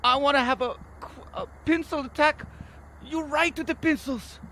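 A man's voice: very high voice, moderate pace, bright, forceful tone. A man voicing a self-pitying, obsessive game designer who whines and repeats himself in broken English. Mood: insistent, excited. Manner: whining, repetitive, mangled grammar. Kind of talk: improvised comedic game acting. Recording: noisy cassette-tape recording.

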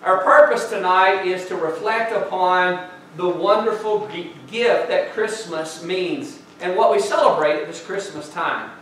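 A middle-aged man with a high voice, a pastor, speaks in a relaxed, folksy way full of little fillers. He is picked up by a distant camera across a large church sanctuary. The sound is very echoey, with a faint hiss underneath. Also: a little slow. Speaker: pastor hosting a church program. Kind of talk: church announcements and prayer. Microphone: far camera, speaking from the stage.

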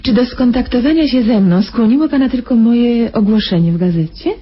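Actress as coy, cooing private nurse, dull recording. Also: female voice, fairly high pitch, fairly quick, close to an everyday voice. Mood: inquisitive, flattering. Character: coaxing, inquisitive private nurse, sweetly patronizing and flattering. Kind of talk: radio drama acting. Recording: dull radio recording with faint noise.

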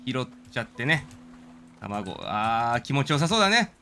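A man's voice: medium pitch, very animated, moderate pace, vocal fry, bright, forceful tone, soft background music. A man giving casual live commentary as he streams a game, talking to himself and his viewers. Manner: reads game dialogue aloud in character.

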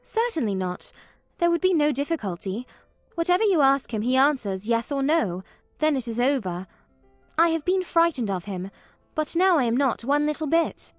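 A woman's voice: high voice, sing-song, moderate pace, no echo, soft background music, pitched above the performer's own voice. A woman voicing an earnest, guarded and stubborn genteel young girl in an audiobook. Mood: earnest, determined. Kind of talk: audiobook character acting.

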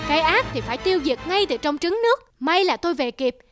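A woman's voice: very high voice, very animated, fast talker. A woman voicing a stern rooster husband in a cartoon, scolding and emphatic. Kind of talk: Vietnamese cartoon dubbing. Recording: music behind the voice.

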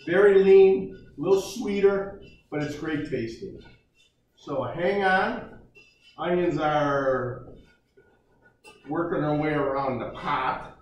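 A man's voice: a little high, slow, long pauses, dull audio, a little hiss or hum. An older man hosting a casual home cooking show, joking and chatting as he cooks in a large kitchen, recorded by a camera at a distance. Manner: folksy, jokey, chatty while cooking. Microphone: camera mic at a distance. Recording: echoey kitchen, voice from off-mic.

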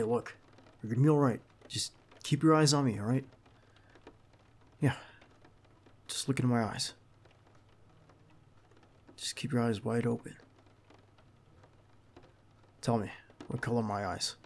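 A man plays a protective bodyguard in an intimate ASMR audio drama. His voice sits at an average male pitch with a heavy gravelly fry. He talks in a hushed, halting, reassuring way, with faint noise behind him. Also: close to an everyday voice. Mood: urgent, gentle. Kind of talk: intimate ASMR roleplay acting.

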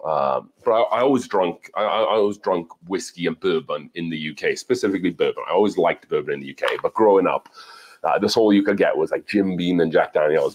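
Very deep-voiced British man chatting casually. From Wales, UK. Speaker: livestream guest. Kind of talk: casual chat. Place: indoor home bar.

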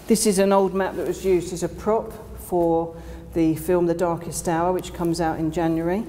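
A middle-aged woman giving an informal guided tour, explaining as she walks, with slightly halting phrasing. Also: fairly low pitch, level tone, dark tone. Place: indoors in disused underground station, tunnels and train carriage.

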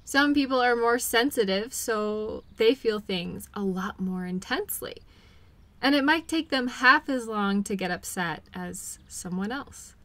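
A woman playing a caring, patient girlfriend in an intimate role-play audio, speaking gently and reassuringly to comfort her partner. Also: a little high, animated, unhurried, dry recording. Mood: calm, thoughtful.

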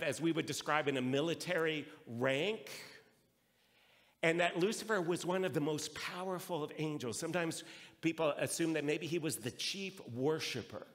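A man's voice: fairly high pitch, a bit bright. A man preaching a sermon to a congregation as a pastor, explaining and posing rhetorical questions. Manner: explanatory, asks rhetorical questions and answers them. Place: large reverberant room, live preaching. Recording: reverberant hall sound.

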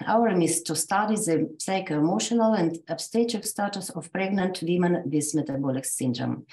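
A woman from Russia, a native Russian speaker with a Russian accent, reading a medical conference talk in a halting way with frequent restarts. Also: fairly low pitch, a little mellow, echoey room, rough recording. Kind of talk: reading an academic presentation.

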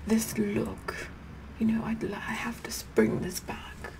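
A vain, imperious queen acted out by a woman in an ASMR roleplay, slipping between breathy whispers and soft speech. She talks very slowly in a fairly high voice, repeating words with sassy condescension. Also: level tone. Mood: haughty, vain.

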